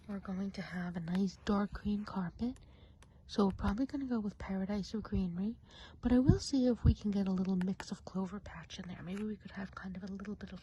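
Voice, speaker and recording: Woman as a softly whispering, mellow gossipy witch. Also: medium pitch, slight background noise, close to an everyday voice, low-quality recording. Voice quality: soft, breathy, frequently whispered. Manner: chatty, gentle, unhurried musing. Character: friendly gossipy witch of the enchanted woods, planning a party. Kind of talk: ASMR roleplay, soft-spoken and whispering.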